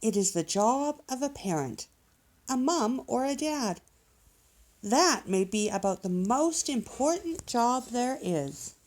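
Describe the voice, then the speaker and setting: A woman's voice: on the high side, lively intonation, slow, a little mellow, voice pushed up. A woman voicing an enthusiastic male professor bear who explains things in an encouraging, emphatic way, like a children's storybook guide. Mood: earnest, encouraging.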